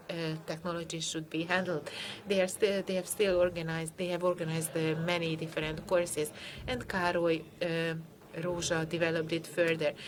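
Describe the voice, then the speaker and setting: A woman giving a live interpretation of a conference lecture, speaking haltingly with frequent filled pauses. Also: fairly low pitch, a little hiss or hum.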